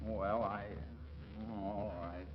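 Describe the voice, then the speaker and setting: A man's voice: on the low side, fairly even intonation, takes it slowly. A man playing a flustered, evasive, stammering husband in a 1940s radio comedy. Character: flustered, evasive husband dodging his bride. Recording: dull, noisy old radio recording.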